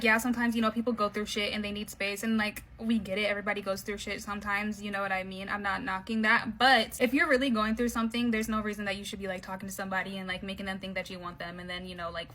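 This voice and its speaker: A woman's voice: mid-range voice, a bit bright, a little hiss or hum. A woman giving blunt, profane dating advice in a casual rant to the camera. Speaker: social media creator.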